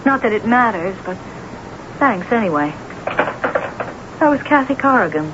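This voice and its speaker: An actress playing a brooding, moody woman novelist in a radio mystery drama, with a halting delivery. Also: fairly high pitch, lively intonation. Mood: dejected, curt. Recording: noisy, muffled old radio broadcast recording.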